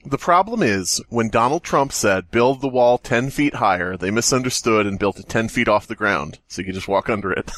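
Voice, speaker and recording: A man's voice: lowish voice, normal speed, fairly bright tone. A man co-hosting a comedy podcast, riffing casually in a joking, playfully theatrical manner. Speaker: podcast co-host.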